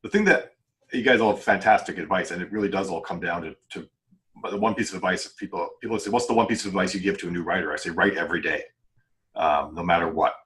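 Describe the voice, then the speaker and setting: A man with a deep voice hosts a casual podcast discussion. He talks quickly, in a conversational style. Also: fairly bright tone. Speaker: podcast host. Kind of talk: casual panel talk.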